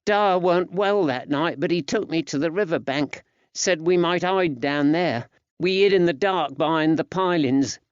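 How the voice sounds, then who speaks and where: A man's voice: on the high side, normal speed, clear, resonant tone, no echo, lo-fi sound, pitched above the performer's own voice, lightly acted. A man voicing a frightened, grieving working-class boy who speaks plaintively in an old-fashioned rustic dialect. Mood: upset, fearful.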